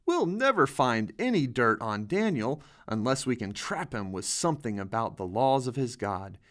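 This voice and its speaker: Guy doing a sneaky young palace advisor, flattering and plotting, bible storybook villain. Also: mid-range voice, moderate pace. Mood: resentful plotting. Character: scheming, flattering young male royal officials.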